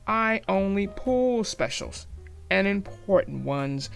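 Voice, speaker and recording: A woman's voice: medium pitch, animated, slow, gravelly, soft background music. A woman voicing a haughty, grumbling big male steam engine for a children's storybook, with emphatic delivery. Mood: haughty, scornful.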